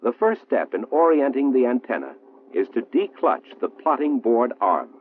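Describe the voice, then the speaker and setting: An American man reading a 1960s military training film narration in a formal, measured, precisely enunciated manner. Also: mid-range voice, sing-song, breathy edge. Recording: muffled, roomy 1960s film soundtrack, phone-like.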